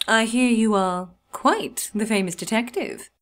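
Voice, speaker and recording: A woman's voice: a little high, a little slow, a bit bright. A woman playing a vain, wealthy lady pony with an affected, refined and theatrical manner in a cartoon drama. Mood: genteel, smug. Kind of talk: amateur cartoon audio drama acting.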